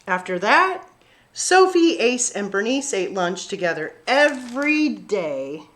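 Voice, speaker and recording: A woman reading a picture book aloud to children, narrating expressively with deliberate, dramatic phrasing. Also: mid-range voice, sing-song, a bit bright, a little hiss or hum. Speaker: children's story-time reader.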